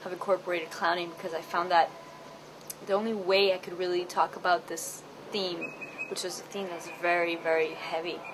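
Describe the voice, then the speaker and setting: A woman from Chile, a theatre artist, talking in an earnest, thoughtful interview with many pauses. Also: fairly low pitch, little pitch movement, heavy background noise. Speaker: theatre artist interviewed about her play. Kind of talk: reflective personal interview.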